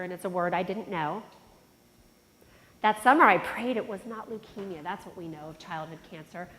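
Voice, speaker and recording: A woman's voice: medium pitch, fairly bright tone. A middle-aged woman with a nasal voice giving a motivational keynote speech, telling a personal story and talking straight to the audience. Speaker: conference keynote speaker. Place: large conference hall. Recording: long hall reverb. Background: long reverb of a large hall.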